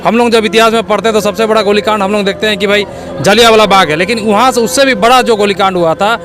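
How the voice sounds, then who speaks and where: Male interviewee, Jharkhand accent, notably high voice, earnest community activist explaining a grievance outdoors. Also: fast, crowd noise. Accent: eastern Indian, Jharkhand. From Jharkhand, India. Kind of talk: spoken interview statement.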